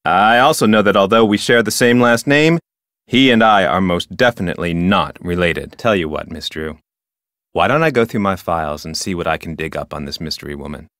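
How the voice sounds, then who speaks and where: An actor playing an officious, dutiful and touchy park ranger in a video game, speaking in a formal, self-important manner. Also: male voice, low voice, a little fast, fairly bright tone, no room sound, clean studio sound. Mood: guarded, indignant. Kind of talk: video game character dialogue.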